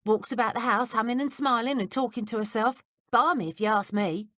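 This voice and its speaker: A woman's voice: a little high, fairly quick, pushed and bright, dry recording, studio quality, voice pushed up. A woman voicing a sly, gossipy young male servant with blunt working-class speech. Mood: gossiping.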